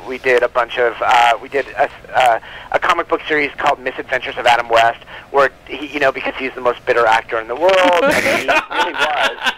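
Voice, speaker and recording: A man's voice: mid-range voice, expressive, fairly quick, sharp tone. A man talking as a guest publisher in a casual phone interview, chatty and enthusiastic. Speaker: guest, comic book publisher. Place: on a telephone line. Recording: live telephone call on radio show.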